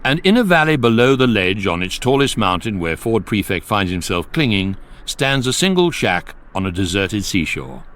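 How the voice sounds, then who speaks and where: Low-voiced, lively guidebook narrator. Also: male voice, moderate pace, hardly any pauses. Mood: mock-epic. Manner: dry, wry, mock-lofty. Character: droll, all-knowing male electronic guidebook narrator. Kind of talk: scripted comic radio narration.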